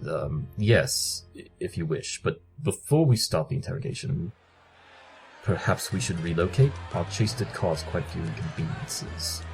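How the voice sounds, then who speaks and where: A man's voice: sits low, normal speed, vocal fry, music in the background. A man voicing a curt, grumpy, aloof pony detective in a cartoon audio drama, speaking in a formal, precise way. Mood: impatient.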